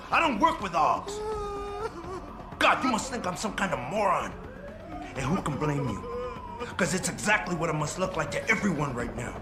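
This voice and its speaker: A man acting as a cornered, volatile hostage taker in a game. His highish voice swoops around in agitated, cocky street slang, with echo around it. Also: close to an everyday voice. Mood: agitated, bitter. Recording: echoey game audio under loud music.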